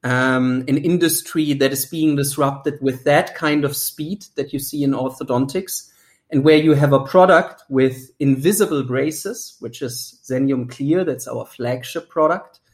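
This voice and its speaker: A man's voice: medium pitch, a little slow, darkish tone. German-accented founder telling his story. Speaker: startup founder and guest. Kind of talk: podcast interview.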